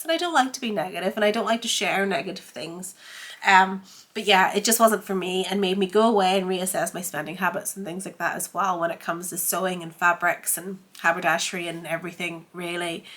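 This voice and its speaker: Congested, bright-voiced woman vlogger. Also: medium pitch. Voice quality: congested, head-cold voice. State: under the weather with a head cold. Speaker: host of a sewing vlog. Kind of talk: casual chatty vlog to camera. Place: home sewing room.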